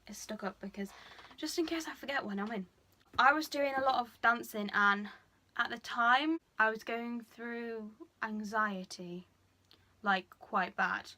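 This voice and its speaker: A young woman talking frankly to camera in a personal vlog, chatty and full of fillers, speaking a little lower than she usually does. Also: unhurried, bright, forceful tone. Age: young adult. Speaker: vlogger. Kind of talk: candid personal confession.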